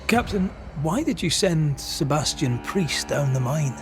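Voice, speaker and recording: An actor playing a solemn ghost hunter in a video game, deliberate and authoritative as he interrogates and passes judgement. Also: on the high side, animated, music in the background. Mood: measured accusing. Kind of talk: dramatic video game acting.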